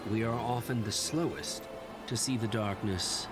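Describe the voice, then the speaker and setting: A man playing a grave storyteller, narrating a dark fairy tale in a solemn, measured way. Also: lowish voice, natural voice. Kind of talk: scripted narration. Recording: loud music behind the narration.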